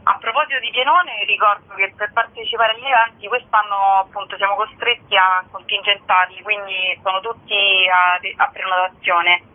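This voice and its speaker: A woman's voice: medium pitch, steady tone, moderate pace, few pauses. A woman giving an informal interview as a festival organiser, speaking as a guest. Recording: tinny, narrow phone line.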